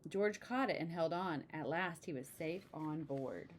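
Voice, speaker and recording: Expressive woman reading aloud, audible background noise. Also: low voice, measured pace. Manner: expressive, exclaiming story delivery. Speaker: storybook reader. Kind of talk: children's picture book read aloud with casual asides.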